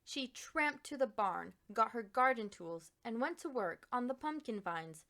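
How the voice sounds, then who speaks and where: Bright, animated woman storyteller, unhurried. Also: on the high side. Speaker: picture book reader. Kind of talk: expressive read-aloud storytelling for children.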